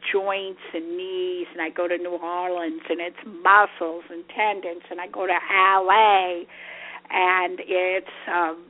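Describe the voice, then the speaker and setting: An older woman hosting a phone interview, reflective and halting, speaking of energy. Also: lowish voice, flat delivery. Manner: reflective, talks of energy, slow halting phrasing. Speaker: host. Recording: narrow telephone line.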